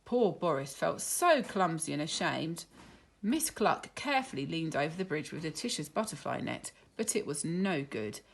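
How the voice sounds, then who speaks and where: A woman reading a children's story aloud in an expressive, deliberate teacherly way. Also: deep voice, creaky, husky. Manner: animated, deliberate.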